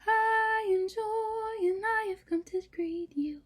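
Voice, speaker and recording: A woman voicing a small, cheerful female songbird in a storybook, singing her lines slowly and gently. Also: very high voice, fairly even intonation, pitched above the performer's own voice. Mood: cheerful singing greeting.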